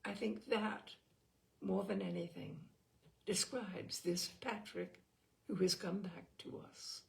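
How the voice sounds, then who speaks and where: A woman reading literary fiction aloud as an audiobook narrator, in a measured manner with the odd stumble. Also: mid-range voice, steady tone, vocal fry. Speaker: audiobook reader.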